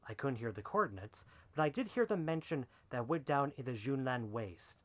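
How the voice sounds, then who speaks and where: An actor playing an excitable outlaw henchman in a sci-fi western drama, with casual, wry talk. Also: mid-range voice, brisk, natural voice. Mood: reporting, uneasy.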